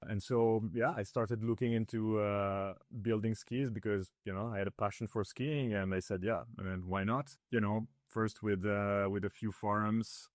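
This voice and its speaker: A man's voice: fairly low pitch, fairly even intonation, moderate pace, few pauses, no room sound, studio quality. A man from northern Italy, raised in Switzerland, whose first language is Italian, talking through a casual interview with many fillers and restarts. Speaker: ski builder guest.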